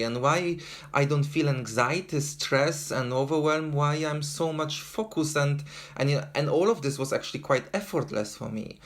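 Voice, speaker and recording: A man's voice: medium pitch, a bit bright. A man from Poland with a Polish accent, speaking as an interview guest in an earnest way, with many fillers and restarts. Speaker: guest, endurance runner. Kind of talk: casual interview talk.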